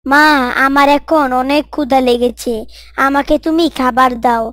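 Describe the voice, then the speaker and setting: A woman's voice: sits high, steady tone, moderate pace, fairly bright tone, dry recording, clean studio sound. A woman voicing a cheerful, eager young man who has come home for the holiday. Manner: eager, friendly.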